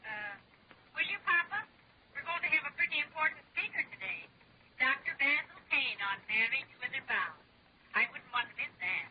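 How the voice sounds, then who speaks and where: An actress playing a sweet, earnest, naive housewife with a fluttery, eager 1940s radio comedy delivery. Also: female voice, high-pitched, expressive, moderate pace, pauses often. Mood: cheerful, fond. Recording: noisy, muffled, thin old radio recording.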